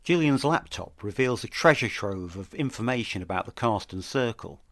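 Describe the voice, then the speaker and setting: A man hosting an investigative podcast, delivering scripted true-crime narration in a serious, measured manner. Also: mid-range voice, lively intonation, a bit bright, no echo, studio quality.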